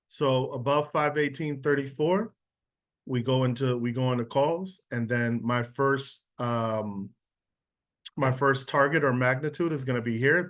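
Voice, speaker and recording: A man's voice: medium pitch, fairly bright tone. Casual man teaching over a video call. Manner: casual, checks in with listeners often. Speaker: trading instructor. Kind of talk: live online trading lesson.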